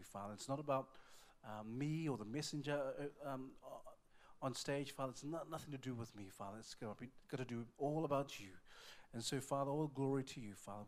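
A man from New Zealand with a New Zealand accent addresses a church congregation as a visiting evangelist. His talk is lively and stumbling, full of repeated words and shouts of praise. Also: mid-range voice. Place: church service.

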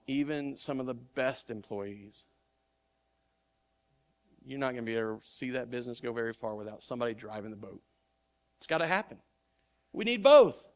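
A middle-aged male pastor preaching a sermon in an earnest, conversational way, often restarting his words. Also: medium pitch. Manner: earnest, conversational preaching with repeated word starts.

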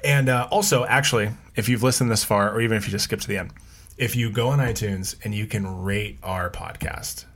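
A man's voice: fairly low pitch, brisk, a bit bright. A man hosting a casual podcast, easygoing and chatty, often laughing with his guest. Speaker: podcast host. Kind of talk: casual chat.

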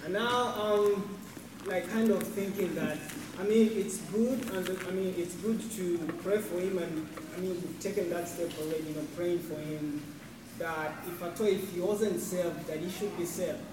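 A man's voice: high-pitched, moderate pace. A man with a non-native accent in a congregation, hesitantly asking a question and reading scripture aloud. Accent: non-native English accent. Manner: hesitant, many fillers, reads scripture aloud. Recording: distant, echoey, noisy room.

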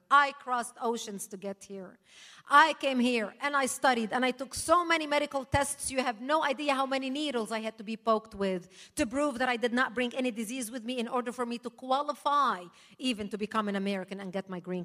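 High, bright woman speaking in an echoey hall. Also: moderate pace. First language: not English. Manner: forceful, emphatic, punctuated with laughs. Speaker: speaker at a political rally. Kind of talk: impassioned public speech. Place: large hall before a live audience. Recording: echoey hall, long reverb.

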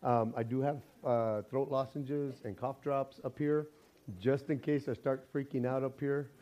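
A man with a hoarse, raspy voice, preaching a casual sermon with jokes and a rambling, self-interrupting way of talking. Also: mid-range voice, fairly even intonation, measured pace, lo-fi sound. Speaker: pastor. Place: church sanctuary.

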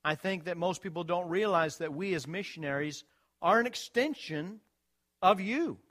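A man's voice: high-pitched, moderate pace, a bit bright. A man from Virginia, a missionary, thanking supporters in a friendly, lightly joking church talk while showing slides. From Virginia, USA.

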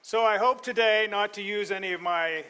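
A middle-aged male pastor preaching a sermon, animated and joking with the congregation. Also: high-pitched, moderate pace, clear, resonant tone.

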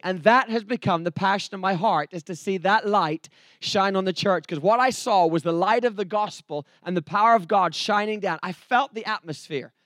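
A man's voice: sits high, no echo. A man from England with an English accent, preaching a sermon through personal testimony in an earnest storytelling way with joking asides. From England, later Texas. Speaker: preacher, evangelist.

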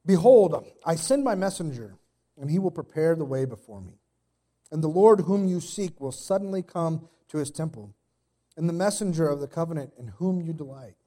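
A man in his thirties preaching a casual sermon while sick with a cold, his voice deeper than usual, with a slight cough and many fillers and restarts. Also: lively intonation, normal speed, dark tone. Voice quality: extra deep voice today. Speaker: pastor. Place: church.

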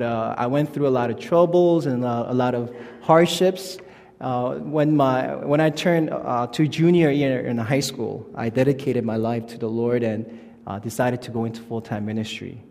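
A man in his thirties gives a halting, informal sermon as a youth pastor. His voice has a gravelly edge and sits in a middle pitch. The sound rings with a long church reverb. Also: darkish tone. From South Korea. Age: 30s. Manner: halting, informal, friendly delivery. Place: church sanctuary.

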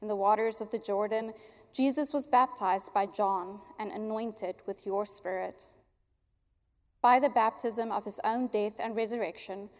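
Woman pastor in reverberant church. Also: mid-range voice. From South Africa. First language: Afrikaans. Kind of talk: baptism prayer and address. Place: church sanctuary. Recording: long church hall reverb.

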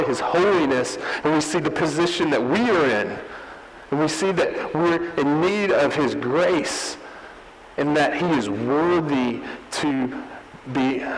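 A man's voice: medium pitch, big-room sound, slight background noise, low-quality recording. A man preaching a teaching sermon, earnest and explanatory, often restarting his phrases. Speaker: pastor.

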